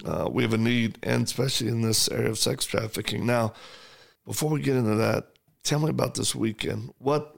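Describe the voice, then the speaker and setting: Male interview host, gravelly, enthusiastic, conversational. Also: medium pitch. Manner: enthusiastic, repeats words when thinking. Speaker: podcast host.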